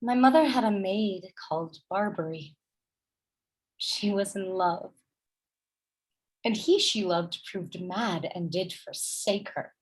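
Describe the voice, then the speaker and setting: A woman playing a gentle, melancholy noblewoman and obedient wife in a Shakespearean radio play, with a wistful manner. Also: mid-range voice, takes it slowly, long pauses, slightly rough, poor audio.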